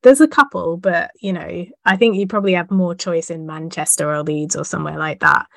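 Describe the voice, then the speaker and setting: A woman's voice: on the low side, fairly quick, vocal fry, a bit bright, no room sound, studio quality. A woman hosting a casual podcast interview, hesitant and self-deprecating, laughing often. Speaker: podcast host and editor.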